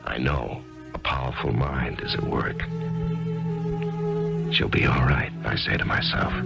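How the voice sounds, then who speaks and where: Brief: persuasive, searching widower, very deep gravelly voice, muffled old broadcast with music beneath. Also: male voice, exaggerated voice. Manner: intimate, coaxing, reflective narration. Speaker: narrator and lead. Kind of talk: 1970s radio drama acting with first-person narration. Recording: muffled 1970s radio broadcast with music underneath.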